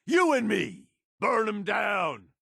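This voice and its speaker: A middle-aged game raider lieutenant, crude and scheming. His voice is gravelly and unhurried, rising sharply when he barks orders in a fight. The recording is dry and close, with no room sound. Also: high voice, big pitch swings, bright, forceful tone, no room sound, exaggerated voice. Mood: combat barks. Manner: gravelly, crude and sardonic, tough-guy drawl. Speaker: companion character. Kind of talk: video game combat and companion barks.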